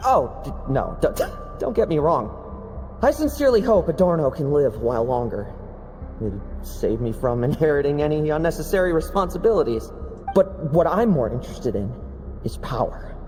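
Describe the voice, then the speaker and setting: A smug young man voiced by a male actor in a video game, taunting and theatrical. His mellow voice swoops up and down with cold ambition, ringing in a long reverb over music. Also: a little high, expressive, normal speed, natural voice. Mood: playful, calculating. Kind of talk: dramatic video game voice acting.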